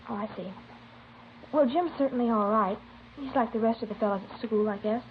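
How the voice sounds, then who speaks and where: A woman playing a guarded, tentative teenage high school girl in a naturalistic 1950s radio drama. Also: fairly quick, muffled recording, lightly acted. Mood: guarded, casual. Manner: tentative, plain-spoken. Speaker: actor playing a witness. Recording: thin, noisy old radio recording.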